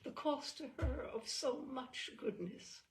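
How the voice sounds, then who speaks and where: A woman reading a literary short story in the first person, measured and expressive, dwelling on phrases. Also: sits high.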